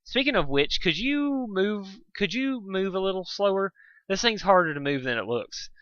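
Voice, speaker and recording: Man acting as swaggering cartoon pony mare, raised pitch, cocky, wheedling, dry close sound. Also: animated, moderate pace, a bit bright, no echo, highs cut off. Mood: boastful, complaining. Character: brash, swaggering female cartoon pegasus pony.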